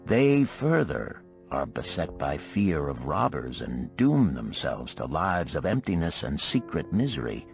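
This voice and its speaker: Male narrator as wealthy storybook sage, grave, didactic, low voice, slow delivery. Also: big pitch swings, gravelly, faint music behind, full character voice. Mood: stern, instructive. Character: wealthy, wise ancient Babylonian man, lecturing old friends. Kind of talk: storybook character reading.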